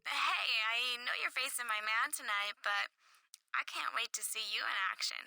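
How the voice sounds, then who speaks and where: A woman's voice: on the high side, moderate pace, creaky, over music, rough recording. A woman playing an assertive, scheming wrestling diva in scripted video game dialogue. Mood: flirty, confiding. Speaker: wrestling character.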